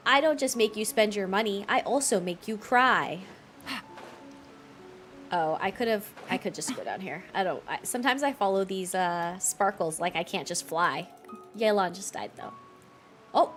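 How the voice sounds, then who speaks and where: A woman's voice: medium pitch, lively intonation, moderate pace, fairly bright tone. A woman doing casual, informal gameplay commentary as a streamer, giggly and reacting aloud. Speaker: game streamer. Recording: game music behind the voice.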